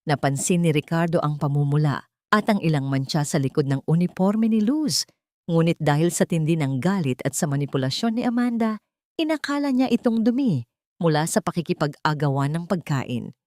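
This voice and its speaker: A woman's voice: sits low, lively intonation, brisk, a little mellow, no echo, clean studio sound. A woman narrating a scripted audio drama in a dramatic storytelling style.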